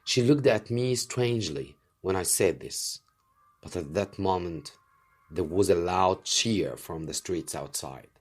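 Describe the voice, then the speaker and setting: A man reading a story aloud as a teacher would, in an expressive and deliberate way for learners. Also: low-pitched. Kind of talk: scripted story narration.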